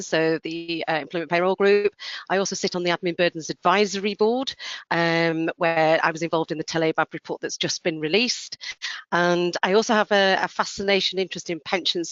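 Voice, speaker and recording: A woman in her fifties introducing herself from an office as a webinar panelist, measured with occasional fillers, on a video call. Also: lowish voice, fairly bright tone, no room sound, muffled recording. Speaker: panelist, head of payroll services.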